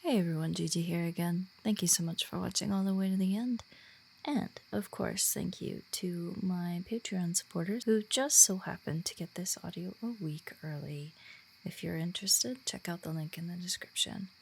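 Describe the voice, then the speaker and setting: Creaky, husky-voiced woman audio creator. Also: fairly low pitch, normal speed, low-quality recording. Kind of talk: channel outro thanking listeners and supporters.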